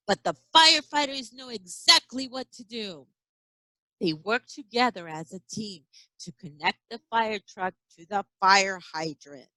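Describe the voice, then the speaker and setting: A woman's voice: medium pitch, sharp tone, no room sound, poor audio. A woman teacher reading a children's picture book aloud expressively, with chatty asides and questions to young listeners. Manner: acts out sound effects and exclamations. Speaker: early education teacher.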